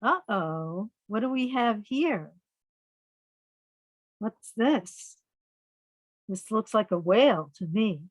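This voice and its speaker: A woman storyteller reading picture books aloud to young children, pausing to ask them simple questions. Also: medium pitch, expressive, dry recording.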